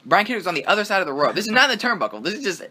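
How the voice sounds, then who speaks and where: A man co-hosting a casual wrestling review podcast, talking with excitement, rambling and swearing freely. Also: sits high, racing. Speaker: podcast co-host.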